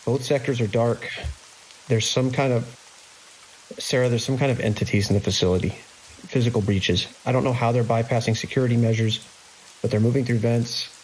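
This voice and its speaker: An actor playing a grim, commanding security chief in a horror audio drama, giving terse, urgent orders. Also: male voice, medium pitch, fairly even intonation, moderate pace, long pauses, a little mellow, lightly acted, poor audio. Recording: constant hiss and rain-like noise.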